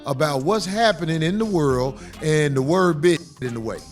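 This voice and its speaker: A man ranting in a played video, animated and profane. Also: a little high, moderate pace. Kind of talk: opinion commentary. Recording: faint music under the voice.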